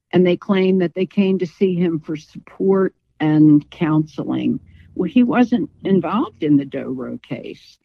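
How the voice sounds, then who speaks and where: A woman's voice: fairly low pitch, no room sound. A woman speaking as an interview guest in a conversational, outspoken and emphatic way, with slightly lo-fi sound.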